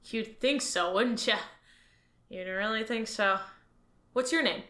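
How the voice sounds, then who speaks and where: A woman's voice: fairly high pitch, slow, fairly bright tone. A woman voicing a cheerful, naive male alien on his first day at work in an audio drama. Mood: eager. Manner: cheerful, upbeat, earnest. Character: eager naive male alien new hire. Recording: roomy echo, faint music.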